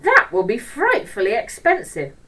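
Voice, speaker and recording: A woman's voice: on the high side, huge pitch swings, normal speed, pushed and bright, far from the mic, voice pushed up, exaggerated voice. A woman voicing a mild, kindly, fretful male schoolteacher in a children's storybook, with a prim, worried way of talking. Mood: alarmed.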